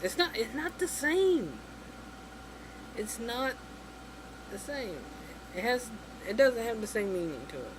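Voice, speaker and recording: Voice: mid-range voice, very animated, slow, long pauses. Exasperated rambling vlogger over AC hum. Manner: rambling, exasperated, heavy on "you know" and "like". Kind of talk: casual opinion rant to camera.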